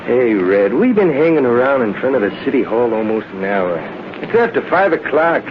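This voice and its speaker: A dim, complaining American henchman, played by a man in a vintage radio crime play. His voice is mid-pitched, and the recording is muffled with clear hiss. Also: big pitch swings, normal speed, lightly acted. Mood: whining, impatient. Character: grumbling, slow-witted gangster sidekick, adult man. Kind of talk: 1930s-40s radio drama acting.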